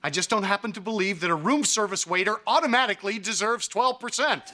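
An actor playing a sheepish, pleading middle-aged ex-husband in a sitcom. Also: male voice, high voice, fast, very noisy, natural voice. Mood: defensive, pleading. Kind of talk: broadcast sitcom acting.

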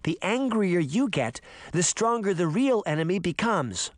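A man's voice: sits high, big pitch swings, measured pace, no echo, pitched above the performer's own voice. A poised woman teacher, as a male narrator plays her, speaks in a raised voice. She is firm and admonishing, a storybook heroine standing her ground. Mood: firm warning.

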